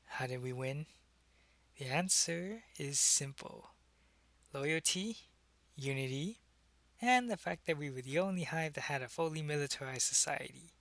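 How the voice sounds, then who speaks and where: A man acts out a loyal alien changeling spy in an intimate audio roleplay, with a gravelly edge and a bright, forceful tone. The delivery is conversational and halting, like someone confiding in one listener. The sound is very dry. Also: fairly high pitch, expressive, no echo, exaggerated voice. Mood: matter-of-fact. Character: alien changeling spy posing as human, loyal, secretive, soldierly. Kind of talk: ASMR roleplay addressed to the listener.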